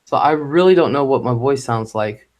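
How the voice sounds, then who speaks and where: A man hosting a casual live stream chat, rambling and halting, with lots of ums and restarts. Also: medium pitch. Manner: halting and rambling, with many fillers. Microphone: home microphone.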